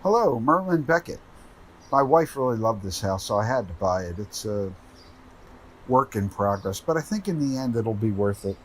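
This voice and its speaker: An actor playing an earnest, grieving, easily agitated widower in a radio drama. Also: male voice, on the low side, expressive, moderate pace, a little hiss or hum, close to an everyday voice. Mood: polite, wistful.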